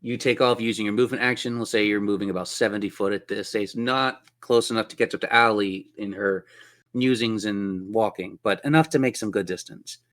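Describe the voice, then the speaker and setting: A man's voice: fairly low pitch, fairly quick, fairly bright tone. A man running a tabletop role-playing game as game master, telling the story in a descriptive, theatrical way.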